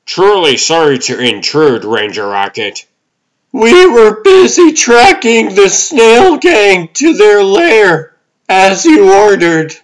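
A man's voice: high voice, big pitch swings, slow, voice pushed up, heavily acted, low-quality recording. A man voicing an eager, bumbling policeman in a comic dub, officious and deferential, with music behind. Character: eager, bumbling male Keystone Cop. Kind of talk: comic-book dub acting. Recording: music under the voice.

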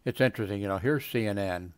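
A man's voice: fairly low pitch, quick pace, clean tone, dry recording. A man in his seventies reading web pages aloud at his home computer and wandering off into casual asides full of fillers. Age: 70s. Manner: meandering, many fillers and trailing asides. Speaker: video blogger.

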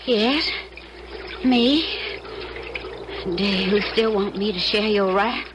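A woman's voice: medium pitch, slow pace, close to an everyday voice. An actress playing a tender, spirited young woman spy in a seventies radio drama. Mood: tender, frank. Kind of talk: 1970s radio drama acting. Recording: water noise, 1970s radio drama.

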